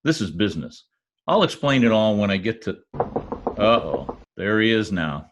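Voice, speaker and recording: A man's voice: on the low side, very animated, unhurried, noisy background. A man playing a glib, wisecracking private detective in an old-time radio drama. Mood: teasing. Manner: glib, bantering.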